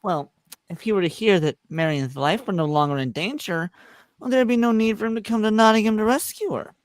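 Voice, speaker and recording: A woman's voice: medium pitch, brisk, dry recording, studio quality, lightly acted. A woman voicing a smug, scheming medieval sheriff in a comedic script read, with an arch, insinuating manner.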